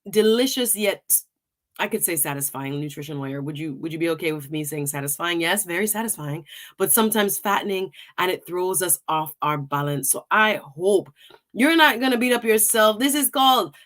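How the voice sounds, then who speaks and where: A woman's voice: lowish voice, animated, normal speed, a bit bright. Upbeat woman hosting a talk show. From Jamaica. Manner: chatty, upbeat, laughs often. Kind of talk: live-stream talk show intro.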